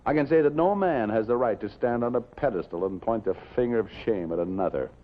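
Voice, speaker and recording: An actor playing an intense, haunted newspaper columnist with an American accent, urgent and emphatic, in a 1950s television drama. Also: male voice, medium pitch, animated, fairly quick, a little hiss or hum, close to an everyday voice. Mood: shaken, resolute. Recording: dull, muffled 1950s television soundtrack.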